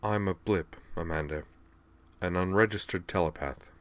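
A man's voice: low-pitched, a little slow, a bit bright, clean tone. A man voicing an anxious, self-doubting Earthman in a science fiction audiobook, earnest, hesitant and subdued. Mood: miserable, subdued.

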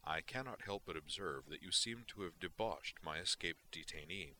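A stern Vulcan officer delivers dry, measured remarks in a low, even voice, read by a man. Severity without raising volume. Exacting, unimpressed storybook alien. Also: slight background noise.

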